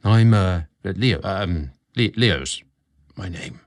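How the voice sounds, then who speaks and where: Man acting a young butler, dutiful, eager, deferential, animated intonation, romance storybook. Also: low-pitched, slow pace, heavily acted. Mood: flustered, stammering.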